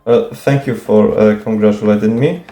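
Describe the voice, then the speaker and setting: Hesitant, stammering low-voiced young man. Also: steady tone, normal speed, dark tone. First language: Polish. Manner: hesitant, stuttering, many fillers and restarts; nervous, self-conscious, casual swearing. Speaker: YouTuber answering viewer questions. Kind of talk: unscripted Q&A monologue. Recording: echoey room, faint music.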